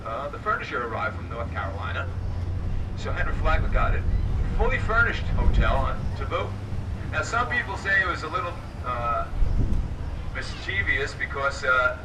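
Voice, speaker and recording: A hoarse man with a raspy voice, chatting and joking with riders as he gives a guided trolley tour. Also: mid-range voice, expressive, moderate pace. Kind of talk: live guided tour commentary over a PA. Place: moving trolley on city streets. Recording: distant voice over loud vehicle noise. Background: trolley engine and street noise.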